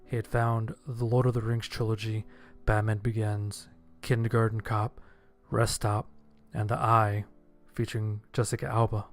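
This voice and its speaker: A man's voice: on the low side, little pitch movement, normal speed, soft background music. A man reading a scripted first-person horror story as its narrator. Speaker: audiobook narrator. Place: voice-over with background music.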